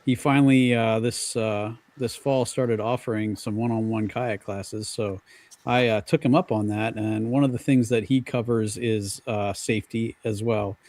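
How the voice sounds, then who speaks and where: A man chatting on a podcast panel, explaining things in an earnest, halting way. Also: fairly low pitch, fairly even intonation, darkish tone, no room sound. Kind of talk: casual podcast chat.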